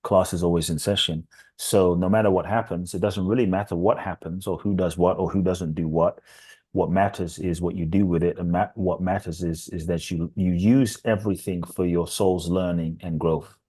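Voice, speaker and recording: A man's voice: sits low, steady tone, moderate pace, mellow, slightly rough. A man speaking as a podcast guest, a transformational teacher giving earnest answers that build up through repetition. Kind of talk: reflective interview answers.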